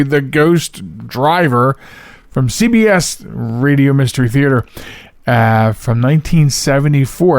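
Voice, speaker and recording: A man's voice: on the high side, no echo, studio quality. An American man hosting a podcast alone, chatting casually in a rambling way and correcting himself as he goes. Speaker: podcast host. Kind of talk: casual solo podcast chat.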